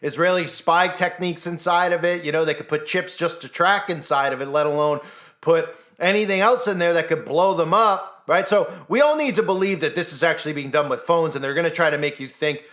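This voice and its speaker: A man speaking alone into his mic as host of his own commentary channel. His voice sits a little high for a man. He talks in an animated, skeptical way and keeps firing rhetorical questions at the listener. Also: fairly quick. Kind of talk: solo news commentary monologue.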